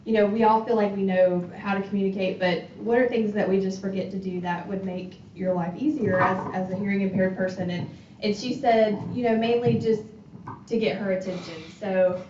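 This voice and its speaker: A woman's voice: medium pitch, steady tone, fairly quick, background noise. A woman, a hearing specialist giving an informal talk, chatty and full of fillers. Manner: chatty, many fillers and restarts. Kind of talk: informal community presentation. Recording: roomy, distant, dull sound.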